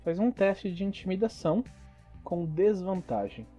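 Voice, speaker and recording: A man's voice: sits high, sing-song, unhurried, warm tone, faint music behind. A man narrating a tabletop role-playing game as game master.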